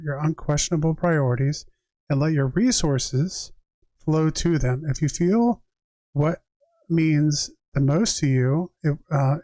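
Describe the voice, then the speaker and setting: Guy doing tarot readings on stream, voice a bit on the high side, dry close sound, chatty n reflective. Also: warm tone, dry recording. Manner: conversational, reflective, addresses viewers directly. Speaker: tarot reader and live streamer. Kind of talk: tarot reading on a livestream, mixing reading aloud with casual chat.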